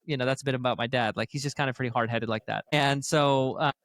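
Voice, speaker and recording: A man's voice: medium pitch, fairly even intonation, fast, fairly bright tone, no room sound, studio quality. A man from Tucson, Arizona, speaking as a podcast guest who co-owns a dental practice, telling his story in long, loose, meandering chains of thought. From Tucson, Arizona, USA. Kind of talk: casual conversation.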